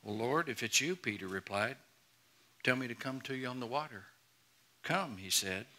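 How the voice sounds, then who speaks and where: A man's voice: mid-range voice, animated, normal speed, pushed and bright. A middle-aged man preaching a sermon as a pastor, earnest and emphatic, often repeating and restarting phrases. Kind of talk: sermon to a congregation. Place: large church auditorium, on stage before a congregation.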